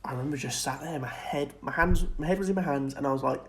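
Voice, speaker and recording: A man's voice: a little high, fast, a bit bright. Casual man co-hosting a podcast. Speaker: podcast co-host. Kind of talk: casual film and TV discussion.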